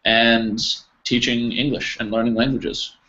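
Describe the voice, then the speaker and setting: A man's voice: mid-range voice, level tone, a little slow, bright, forceful tone. An American man teaching an online English class in an encouraging, informal way. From United States. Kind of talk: casual group class instruction. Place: online video call. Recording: dull, noisy video call audio.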